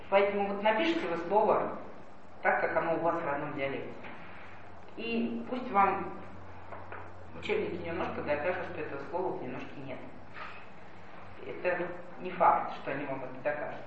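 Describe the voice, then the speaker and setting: A woman giving a spoken lecture, explaining things and addressing her listeners directly. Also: mid-range voice. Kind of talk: spoken lecture on language policy. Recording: echoey distant lo-fi hall recording with noise.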